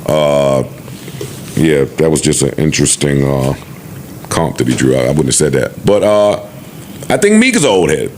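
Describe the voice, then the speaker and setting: A man's voice: very deep voice, sing-song, normal speed, pauses often, darkish tone, slight background noise. A man hosting a podcast in casual panel talk. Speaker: podcast host.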